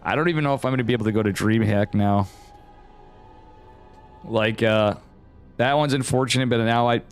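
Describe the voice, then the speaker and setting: A man with an American accent from upstate New York, chatting casually and rambling with viewers as he hosts and commentates a game livestream. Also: fairly low pitch. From upstate New York, United States. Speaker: esports caster and stream host.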